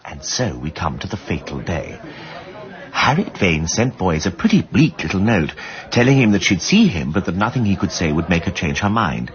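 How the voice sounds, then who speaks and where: Gentleman sleuth, upper-class English, low swooping voice, emphatic dry-witted storyteller, radio play. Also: sing-song, normal speed, muffled recording. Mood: earnest recounting. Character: well-bred amateur sleuth gentleman, animated and insistent. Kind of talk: British radio drama acting.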